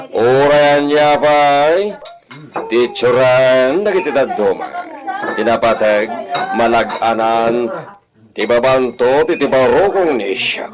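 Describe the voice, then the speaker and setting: Slow, expressive hacienda master played by a man. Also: medium pitch. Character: dignified hacienda master, respectful adult son. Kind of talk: Ilocano radio drama acting.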